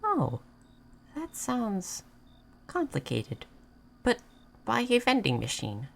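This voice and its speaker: A woman playing a gentle, naive moth person in a roleplay audio, speaking in halting, simple, formal phrases. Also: a little high, huge pitch swings, creaky, exaggerated voice. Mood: soft wonder. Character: gentle, naive, curious moth person learning human things. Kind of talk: scripted roleplay audio addressed to the listener.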